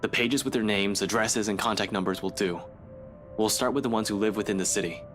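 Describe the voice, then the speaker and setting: Police investigator for a visual novel , male actor: low register, gruff and practical, music underneath. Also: a little fast, a bit bright, natural voice. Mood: businesslike. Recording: music playing behind.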